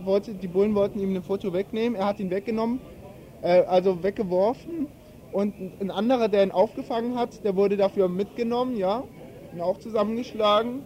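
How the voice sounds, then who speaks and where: High-voiced, halting male eyewitness. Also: mellow. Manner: halting, groping for words. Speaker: eyewitness protester. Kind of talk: spontaneous eyewitness account.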